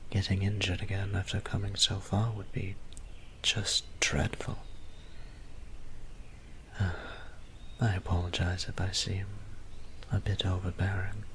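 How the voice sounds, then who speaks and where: A man playing a gentle, weary vampire priest in an intimate audio drama, speaking softly and breathily with formal, old-fashioned, apologetic tenderness. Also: deep voice, flat delivery, takes it slowly, pauses often, a little hiss or hum, heavily acted. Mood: tender, doting. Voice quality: soft, breathy, whispery. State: tired.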